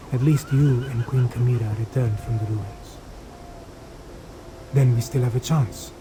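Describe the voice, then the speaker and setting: Man acting a cat-folk warrior, very mellow dark tone, solemn, measured, earnest, video game dialogue, slight background noise. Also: mid-range voice, natural voice, rough recording. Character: solemn, devoted male cat-folk warrior monk, speaking of himself in third person.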